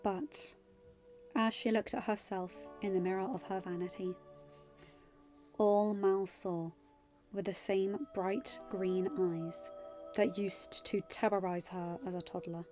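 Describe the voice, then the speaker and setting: A woman's voice: mid-range voice, fairly even intonation, takes it slowly, warm tone, lo-fi sound. Woman narrating a story over music. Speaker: fan fiction narrator. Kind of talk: scripted audiobook narration.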